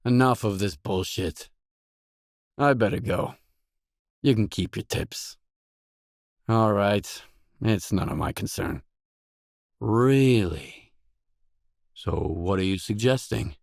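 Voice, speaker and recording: Low, terse tough mercenary. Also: male voice, gravelly, slightly rough, dry recording, studio quality, heavily acted. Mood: curt, menacing. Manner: terse, blunt, deadpan. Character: weary, tough young male stalker mercenary, blunt and guarded. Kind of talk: video game voice acting, isolated dialogue lines.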